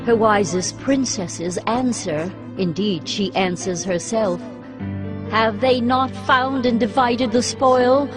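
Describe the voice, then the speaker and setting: Slow, high-voiced triumphant ancient woman, acted over music. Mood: mocking, dramatic. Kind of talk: dramatized scripture reading. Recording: loud music under the voice. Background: prominent music.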